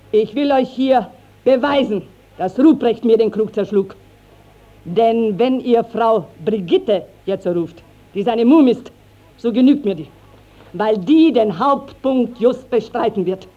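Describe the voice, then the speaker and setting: A woman's voice: on the high side, slow pace. An actress playing a garrulous, righteous village mother, declaiming in a classical German radio drama. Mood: accusing. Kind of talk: classical German stage-style radio acting. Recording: dull 1950s radio drama recording.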